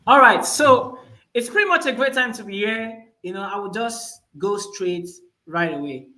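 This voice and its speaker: A man hosts an online webinar over a call, his voice bright and clear-edged. He talks casually, with fillers like "pretty much". The audio sounds roomy and echoey. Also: sits high, animated, normal speed. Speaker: webinar host. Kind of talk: live online webinar talk.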